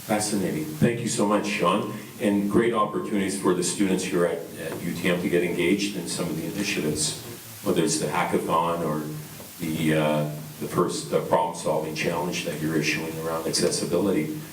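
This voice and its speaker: A man's voice: sits low, steady tone, brisk. A man moderating a panel, thanking speakers and asking upbeat questions. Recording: distant echoey mic with faint hiss.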